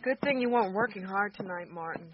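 A woman's voice: mid-range voice, fast, natural voice. An actress playing a caring, anxious wife and mother in a radio drama. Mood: gentle, relieved. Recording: muffled radio recording with faint noise.

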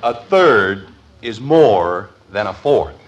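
A man's voice: lowish voice, very animated, slow, dull audio, exaggerated voice. An actor playing a middle-aged, fussy, sarcastic father losing his patience in a vintage 1950s radio comedy. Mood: exasperated. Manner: exasperated, emphatic. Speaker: lead actor in a radio sitcom. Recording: vintage radio broadcast recording.

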